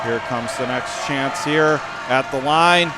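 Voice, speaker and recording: A man calling live basketball play-by-play for radio in clipped, urgent phrases that rise into excited calls. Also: medium pitch, slow. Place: indoor high school basketball gym. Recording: game crowd and gym noise behind.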